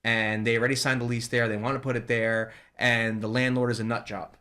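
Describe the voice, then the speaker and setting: Fast-talking man telling a story. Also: mid-range voice. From New Jersey. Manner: fast, animated retelling with quoted dialogue. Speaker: guest, ice cream shop owner. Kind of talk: casual storytelling.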